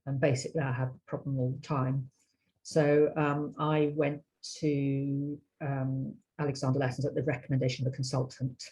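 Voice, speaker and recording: Husky, even-toned British woman. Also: extremely low pitch, moderate pace, rough recording. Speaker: interview guest, violinist and researcher. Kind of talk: personal storytelling in a panel chat.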